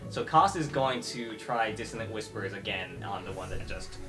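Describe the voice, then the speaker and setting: A tabletop game player announces moves casually. The voice is middling in pitch, with loud music running behind it. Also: animated, moderate pace. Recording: loud music under the talk.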